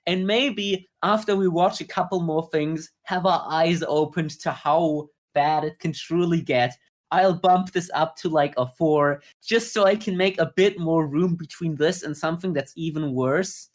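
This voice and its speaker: A man co-hosting a podcast, talking through his views in a measured, explanatory way in a casual review discussion. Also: on the high side. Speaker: podcast co-host.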